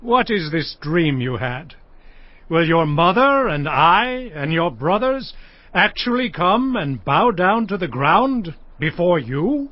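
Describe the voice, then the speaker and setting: A man's voice: high-pitched, sing-song, slow, no room sound, exaggerated voice. An actor playing an elderly patriarch, a stern yet loving father, with emphatic, emotive delivery in a dramatized scripture reading. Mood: stern rebuke. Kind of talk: dramatized scripture audio acting. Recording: dull audio over background music.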